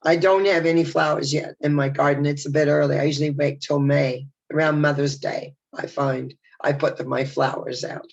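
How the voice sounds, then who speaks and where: An older woman talks through a stuffed-up nose in a chatty, meandering video message. Her voice has a gravelly fry, and the sound is dull and lo-fi. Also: deep voice, fairly even intonation, normal speed, few pauses. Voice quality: nasal. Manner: chatty, warm and reflective, with rambling restarts. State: congested from a head cold. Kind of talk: casual spoken video message.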